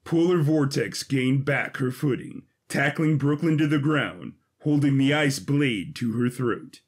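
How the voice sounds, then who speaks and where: Husky man narrating a dramatic story. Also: medium pitch, measured pace.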